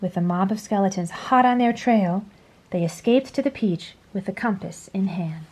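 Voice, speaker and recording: A woman reading a children's story aloud in a dramatic, deliberate storytelling manner. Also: medium pitch, darkish tone, husky. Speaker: storybook reader. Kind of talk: scripted children's story read-aloud, expressive.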